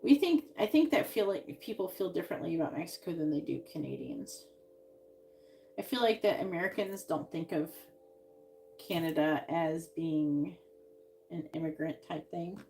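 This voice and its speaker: A woman reading tarot cards on a live stream, musing out loud and trailing off with sighs. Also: sits low, animated, normal speed, a little hiss or hum. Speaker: tarot reader.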